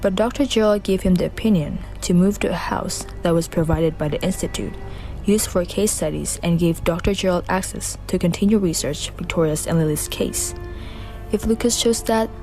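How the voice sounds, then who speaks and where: A woman's voice: lowish voice, normal speed, gravelly, warm tone, faint music behind. A woman narrating a student radio drama in a careful, plain reading with slight grammar slips.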